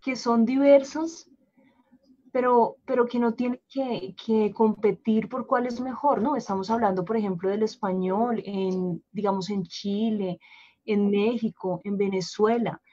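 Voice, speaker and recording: A woman explaining language planning on a live panel, measured and explanatory, sometimes repeating words. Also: mid-range voice, steady tone, dark tone. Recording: dull lo-fi livestream audio.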